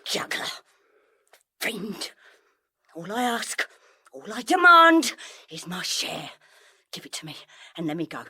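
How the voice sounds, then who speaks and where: A woman's voice: mid-range voice, big pitch swings, slow pace, pauses often, sharp tone, slightly rough, heavily acted. An actress playing a fierce, suspicious pie-shop woman and villain's accomplice in a period audio drama, clipped and imperious. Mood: indignant.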